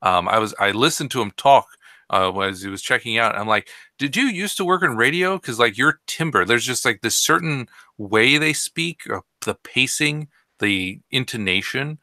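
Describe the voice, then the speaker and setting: Bright-toned man rambling in casual chat. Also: mid-range voice, expressive, moderate pace, no echo, clean studio sound. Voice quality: trained voice, speaks from the throat. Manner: rambling, hesitant, many fillers.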